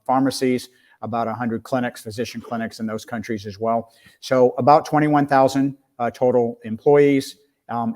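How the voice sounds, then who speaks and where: An American man in his sixties speaks as a professional panelist. His pitch is average and stays fairly flat, giving an even delivery as he presents his background. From United States. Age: 60s. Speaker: panelist, healthcare executive. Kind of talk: professional self-introduction.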